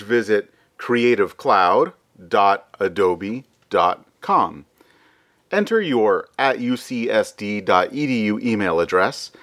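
A man's voice: mid-range voice, a bit bright. A man hosting a podcast, reading upbeat scripted announcements in an emphatic, chatty announcer delivery. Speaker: podcast host.

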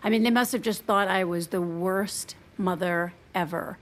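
A woman's voice: medium pitch, normal speed, lightly acted. A woman playing a guarded but tender ex-wife and mother in an audio drama, speaking in an intimate, hesitant, conversational way. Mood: amused, fond. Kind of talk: naturalistic audio drama acting.

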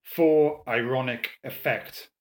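Man acting an arrogant, drawling young English gentleman. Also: mid-range voice, sing-song, echoey room, lightly acted. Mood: haughty, defiant. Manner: drawling, mocking, drawn-out emphatic words. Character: Lavelle, arrogant, mischievous young English gentleman, theatrically scornful. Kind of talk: audiobook character dialogue.

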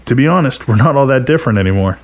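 A man's voice: medium pitch, expressive, very fast, voice pushed up, close to an everyday voice. A man voicing a cocky young pool-playing buddy in an audio short story, slangy and needling. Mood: teasing.

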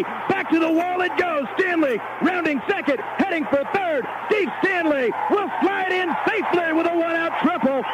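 An American man calling a baseball game live as play-by-play announcer. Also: very high voice. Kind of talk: live sports commentary. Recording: dull, noisy archive game broadcast. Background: stadium ambience and noise.

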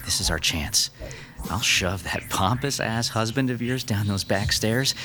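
A man's voice: on the low side, a little slow, noisy background, close to an everyday voice. An actor playing a crude, cocky, scheming ghost-hunt cameraman in an audio horror drama.

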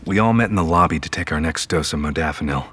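Very deep, somber male narrator. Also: quick pace. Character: somber man recounting his own ordeal. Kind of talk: scripted first-person narration. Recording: loud music under narration.

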